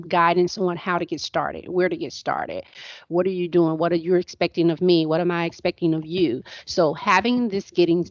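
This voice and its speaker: Low-voiced woman giving online training. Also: darkish tone, dry recording. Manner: conversational, repeats words and restarts often. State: voice going hoarse, clearing throat. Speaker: instructional trainer for faculty. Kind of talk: screen-share training walkthrough. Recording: dull, dry lo-fi online session audio.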